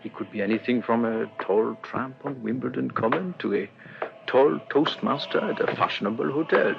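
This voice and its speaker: A man acting in a radio drama as a courteous, astute middle-aged police inspector, speaking in a measured, formal, ruminative way. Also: mid-range voice, creaky, music in the background. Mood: musing, wry. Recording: muffled, lo-fi radio recording, faint noise.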